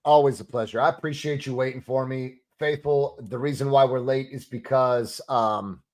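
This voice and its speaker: A man's voice: medium pitch, steady tone, moderate pace. A man guesting on a casual sports podcast, bantering easily and often repeating himself. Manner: easygoing banter with repeated words and restarts.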